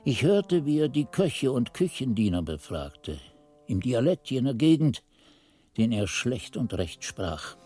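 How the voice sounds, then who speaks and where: Mellow monk narrator, expressive. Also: male voice, mid-range voice, faint music behind. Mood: reflective. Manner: literary, measured storytelling. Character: male monk-pupil recounting his master's investigation. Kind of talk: scripted radio play narration.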